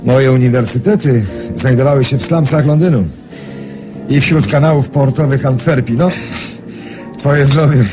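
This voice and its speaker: A man's voice: medium pitch, moderate pace, pauses often, natural voice. Casting: man playing a genial rich emigrant for radio drama, hearty braggart with an expansive manner, music underneath. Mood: boastful, reflective. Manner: hearty, chuckling, hesitant fillers, expansive. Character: jovial boastful Polish emigrant man, self-made rich swindler. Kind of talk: 1980s Polish radio drama acting.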